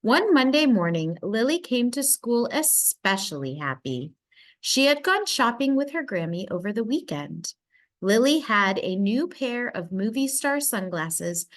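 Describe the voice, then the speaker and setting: A woman reading a children's story aloud, narrating expressively with deliberate, dramatic emphasis. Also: on the high side.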